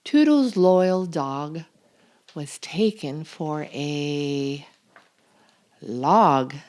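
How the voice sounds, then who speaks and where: A woman reading a children's picture book aloud as a storytime host, expressive and deliberate, drawing out key words. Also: low-pitched. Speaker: storytime reader and channel host.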